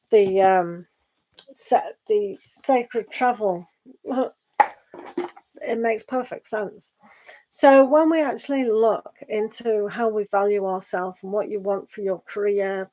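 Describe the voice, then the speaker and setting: A woman giving a live online tarot reading and losing her voice. She talks in a meandering, gentle way and reads the card texts aloud. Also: mid-range voice. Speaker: tarot reader.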